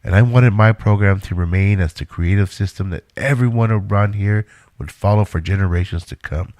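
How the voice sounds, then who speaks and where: An actor playing a controlling, self-assured middle-aged inventor in an audio drama, speaking in a measured, instructive way. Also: male voice, fairly low pitch, darkish tone, no echo, close to an everyday voice. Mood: lecturing, intent.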